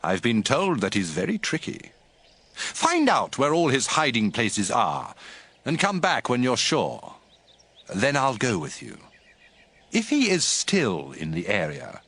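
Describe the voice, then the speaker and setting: An obsessive, scheming king in a dramatized audio Bible. He speaks slowly in a husky voice, with very dramatic swings of pitch as he gives orders. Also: wildly swooping pitch, fairly bright tone, heavily acted.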